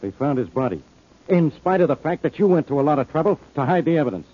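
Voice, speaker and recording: An actor playing a gruff, sarcastic police inspector in an old-time radio detective drama, blunt and needling with a rough-edged voice. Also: male voice, a little high, very animated, fast, lo-fi sound. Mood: exasperated, accusing. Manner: blunt, needling, hard-boiled delivery.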